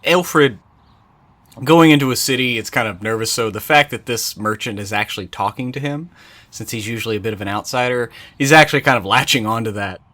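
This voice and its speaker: A man's voice: mid-range voice, fairly quick, sharp tone. A man playing a tabletop game, improvising narration of what his character does and feels.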